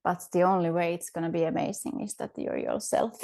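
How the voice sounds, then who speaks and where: A woman's voice: fairly low pitch, wildly swooping pitch, fairly quick, vocal fry, mellow. A woman with a Finnish accent, a podcast guest speaking thoughtfully, often searching for words with fillers and restarts. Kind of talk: reflective interview conversation.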